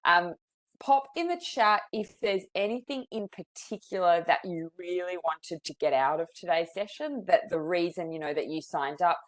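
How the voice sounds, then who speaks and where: A woman's voice: lowish voice, normal speed. A woman with an Australian accent, a psychologist in her thirties, leading a webinar in a friendly, chatty way with many fillers. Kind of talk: webinar presentation. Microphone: video call.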